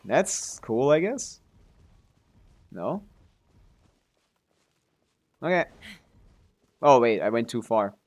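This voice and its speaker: A man's voice: medium pitch, sing-song, drawn-out words, long pauses, a little mellow. Casual male game streamer thinking aloud. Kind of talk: casual livestream gaming commentary and chat.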